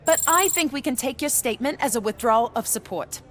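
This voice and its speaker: A woman's voice: fairly high pitch, animated, fairly quick, soft background music. An actress playing a female news reporter who asks probing, formal questions. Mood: composed.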